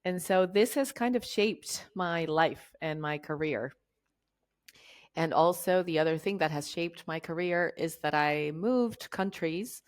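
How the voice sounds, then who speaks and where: A woman's voice: on the low side, unhurried. A woman in her forties from Argentina, a chatty workshop facilitator telling her life story in an interview. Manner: chatty, jokey and laughing easily. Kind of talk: conversational interview.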